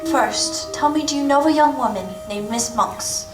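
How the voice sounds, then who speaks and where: A woman's voice: high-pitched, normal speed, over music, natural voice. A woman playing a brave, desperate young woman from a thieves' gang in a staged radio play, urgent and secretive. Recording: echoey stage recording from far off.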